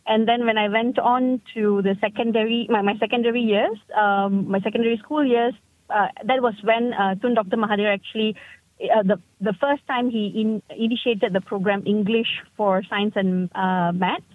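A woman in her thirties calling in to a radio show, speaking in a halting, thoughtful way as she searches for her words. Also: mid-range voice, steady tone, no room sound. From Malaysia. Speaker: caller. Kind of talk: call-in radio chat. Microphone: phone. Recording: tinny phone line.

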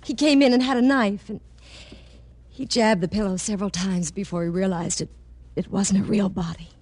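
Actress as dramatic femme fatale, old radio drama. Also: female voice, medium pitch, fairly even intonation, moderate pace, a little mellow, natural voice. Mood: frightened, tense. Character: frightened yet flirtatious married woman, femme fatale client. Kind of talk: 1940s-50s radio drama acting. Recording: vintage radio drama recording.

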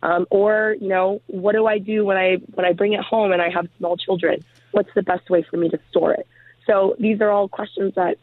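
A woman who has lost her voice to a cold, speaking hesitantly as a guest in a casual interview, filling pauses with ums. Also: on the low side, no room sound. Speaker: guest, program founder. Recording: tinny phone line.

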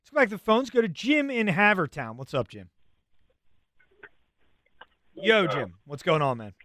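A man's voice: high voice, moderate pace, dry recording. A young adult man hosting sports talk radio, conversational and filler-heavy. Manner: animated, conversational, many fillers.

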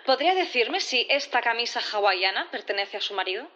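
Casting: female prosecutor in sci-fi audio drama; higher voice, methodical, insistent, formal, slightly dull recording. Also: animated, a little fast, bright, forceful tone. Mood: insistent, accusing. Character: composed, probing female prosecutor in a sci-fi courtroom. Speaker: actor in audio fiction. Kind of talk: audio drama acting, courtroom questioning.

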